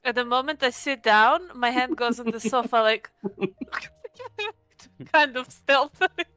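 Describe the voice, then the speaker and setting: A woman's voice: a little high, animated, takes it slowly, pushed and bright, no room sound, soft background music. A woman playing in a tabletop roleplaying game, talking casually and a little hesitantly.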